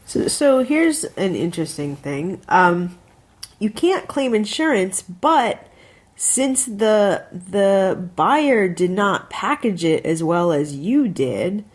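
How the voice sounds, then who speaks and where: A woman's voice: mid-range voice, very animated, takes it slowly. An American woman co-hosting a podcast, giving casual advice in an instructive, emphatic way. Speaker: podcast co-host.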